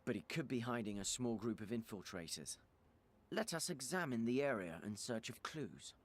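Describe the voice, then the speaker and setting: A man's voice: mid-range voice, lively intonation, moderate pace, close to an everyday voice. A man playing a stern, cautious fantasy adventurer in a video game, speaking formally and curtly. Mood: cautious, commanding. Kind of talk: dramatic video game dialogue.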